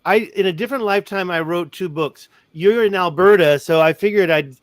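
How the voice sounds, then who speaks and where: A man speaking as a guest author in a casual chat, excitable and jokey while plugging his books. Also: high voice, brisk, no room sound. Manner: chatty, excitable, jokey plugs.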